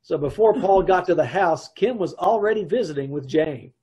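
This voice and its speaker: A man performs a wry married storyteller from an old-time radio comedy. His voice sits a little high with a husky edge. He delivers his wife's antics deadpan. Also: moderate pace. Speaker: narrator and husband in a radio comedy. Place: home room, on a video call. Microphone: video call.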